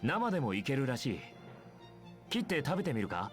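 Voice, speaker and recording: An actor plays a friendly, curious young man, a soldier in a video game. He speaks very rapidly and casually, his pitch moving in a lively way. Soft music sits behind the voice. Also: lightly acted. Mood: playful, curious. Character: easygoing, curious, considerate young male soldier. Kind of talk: Japanese video game voice acting.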